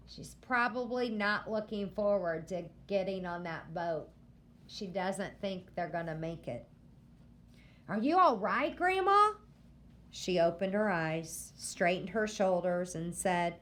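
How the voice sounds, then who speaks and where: A woman reading a novel aloud to listeners, pausing often to ask them questions about the story. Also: mid-range voice. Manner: slow, deliberate, stopping to quiz listeners.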